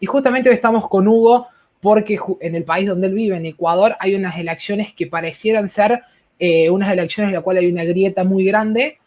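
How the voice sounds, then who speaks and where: A man's voice: high voice, a little fast, darkish tone. A man with an Argentine accent hosting a live interview in a rambling way, with fillers and restarts. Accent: Argentine Spanish. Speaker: host. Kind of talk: casual interview talk.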